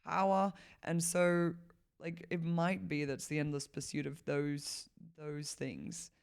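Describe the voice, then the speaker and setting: A woman's voice: deep voice, level tone, slow, vocal fry, clear, resonant tone. A woman from Malawi talking as a podcast guest in a loose, rambling way, leaning hard on "like" and laughing often. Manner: rambling, heavy use of "like" fillers, laughs often. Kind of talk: casual conversational interview.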